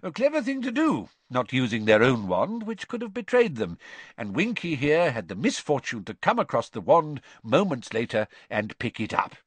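A man's voice: medium pitch, huge pitch swings, moderate pace, husky, dry recording, voice pushed up. A man voicing a kindly, reasonable wizard in a storybook reading, earnest and persuasive. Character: reasonable, kindly male wizard father, arguing calmly.

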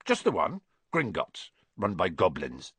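Brief: good-natured giant, gruff and homely, swinging tone, a man reading him. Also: sits low, wildly swooping pitch, a little slow, fairly bright tone, no room sound, pitched below the performer's own voice, full character voice.

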